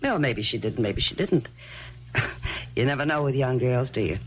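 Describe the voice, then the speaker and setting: A woman's voice: rumbling low, expressive, normal speed, soft background music. Sly, evasive mother. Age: middle-aged. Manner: dry, knowing, deflecting with little laughs. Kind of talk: 1970s radio drama acting. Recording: dull old radio broadcast.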